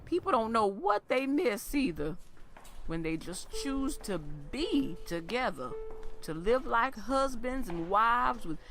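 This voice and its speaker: A woman playing a thoughtful, gently teasing wife and mother in an audio drama. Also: a little high, sing-song, slow pace, faint music behind, exaggerated voice.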